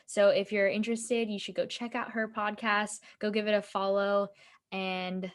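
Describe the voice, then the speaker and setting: Woman podcast host, mid-pitch voice, chatty with plenty of fillers. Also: little pitch movement, moderate pace. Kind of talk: casual podcast chat.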